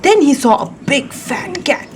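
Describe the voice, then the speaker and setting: A woman reading a picture book aloud to her young child, expressive and simple in her wording, often prompting with questions. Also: high-pitched, a little slow, slight background noise. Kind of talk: storybook read-aloud with questions and chat to a child.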